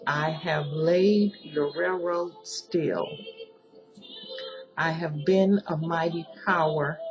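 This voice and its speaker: A woman giving a solemn recitation of a protest poem, deliberate and pleading, pausing between short phrases. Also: lowish voice, low-quality recording. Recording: music behind the voice. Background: music.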